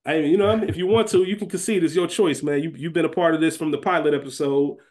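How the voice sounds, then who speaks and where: A man's voice: on the high side, fairly even intonation, fast, darkish tone. A man co-hosting a podcast, talking through a TV series in an informal, conversational, explanatory way. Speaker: podcast co-host. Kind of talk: casual podcast discussion of a TV series.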